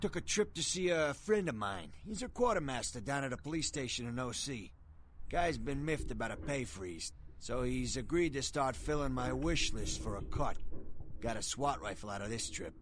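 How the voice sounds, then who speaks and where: A man's voice: mid-range voice, lively intonation, moderate pace, a little hiss or hum, close to an everyday voice. An actor playing a streetwise, business-like male arms dealer in a video game, talking in a clipped, casual, slangy way. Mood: matter-of-fact. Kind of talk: video game character dialogue.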